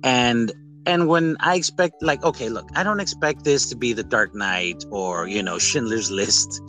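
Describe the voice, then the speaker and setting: A man in a casual, rambling podcast-style chat reviewing a film, with animated retellings. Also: medium pitch, moderate pace, faint music behind. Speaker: podcast host.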